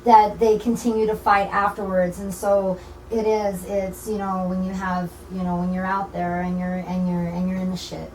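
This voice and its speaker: A woman's voice: mid-range voice, flat delivery, normal speed, a little mellow. An American woman speaking informally as an interview guest, earnest and rambling, often repeating her phrases. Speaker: community organizer and interview guest. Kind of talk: informal radio interview talk. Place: echoey indoor broadcasting room. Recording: roomy, distant mic with faint noise.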